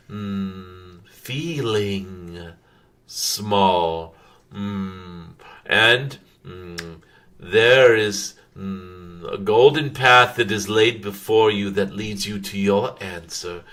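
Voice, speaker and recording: A man voicing a wise, serene dragon spirit in a channeled spiritual message, halting and contemplative with frequent hums and formal phrasing. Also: sits low, fairly bright tone, full character voice.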